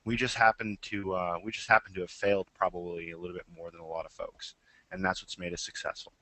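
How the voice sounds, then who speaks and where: A man's voice: low voice, flat delivery, fast, sharp tone, dry recording, poor audio. A man co-hosting a business webinar, talking in a laid-back, joking way. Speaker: webinar co-host. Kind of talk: casual business webinar talk.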